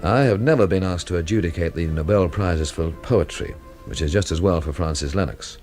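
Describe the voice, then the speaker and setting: An actor playing a wry, cynical investigator from London in a radio drama, with a dry, sardonic manner. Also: male voice, deep voice, brisk, darkish tone, faint music behind, close to an everyday voice. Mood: wry, reflective. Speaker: lead actor and first-person narrator. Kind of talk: radio drama narration and dialogue.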